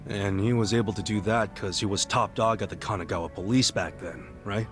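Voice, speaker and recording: An American man acting a loyal, warm-hearted ex-gangster in a video game cutscene, earnest, plain-spoken and streetwise. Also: on the low side, fairly quick, soft background music, natural voice. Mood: sympathetic, probing.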